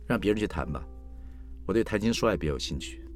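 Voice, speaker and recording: A man's voice: low-pitched, expressive, fast talker, a little mellow, faint music behind. A man voicing a brazen, flirty, rebellious woman of forty in dramatised storytelling, teasing and blunt. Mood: flirtatious.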